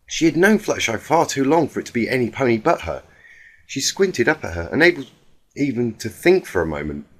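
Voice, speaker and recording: A man narrates a dramatic story in a mid-pitched voice. His delivery is emotive and emphatic, and his pitch moves around a lot as he reads. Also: very animated, fairly quick, fairly bright tone. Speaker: fan fiction reader.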